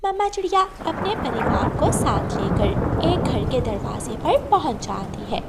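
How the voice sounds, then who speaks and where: A woman's voice: high-pitched, big pitch swings, a little slow, exaggerated voice. A woman narrating a scripted children's cartoon story as its storyteller. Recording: loud storm and thunder noise behind.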